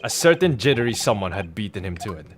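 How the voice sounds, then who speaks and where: Man voicing a formal, low-toned courtroom lawyer. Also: sing-song, background noise. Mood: confident, explaining. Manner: formal, measured, theatrical. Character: earnest male defence lawyer, methodical and accusing. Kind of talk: dramatic read-aloud of game dialogue. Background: game music.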